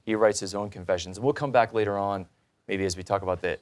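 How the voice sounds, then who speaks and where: Male interview host, lower-pitched, conversational delivery, asking questions and offering commentary. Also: fast. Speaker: talk show host.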